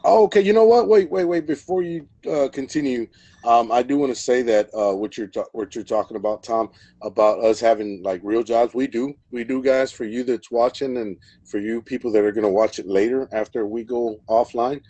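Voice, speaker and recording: A man's voice: medium pitch, normal speed, mellow, no room sound. A man hosting a live stream in a casual, rambling way, full of fillers and repeated words. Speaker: host.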